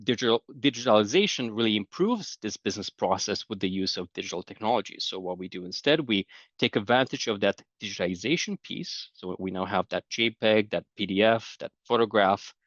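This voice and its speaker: A man's voice: lowish voice, fairly quick, fairly bright tone, no room sound, lo-fi sound. A middle-aged man with a Romanian accent giving a conversational conference presentation, explaining ideas with everyday anecdotes. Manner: conversational, explanatory, tells anecdotes with light laughs. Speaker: conference speaker.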